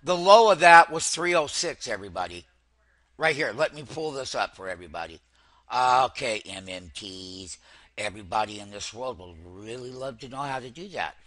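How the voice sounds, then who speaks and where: A man giving excitable, hype-driven live trading commentary as a host, repeating phrases and addressing his viewers like friends. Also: mid-range voice, normal speed. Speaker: stock trader and host.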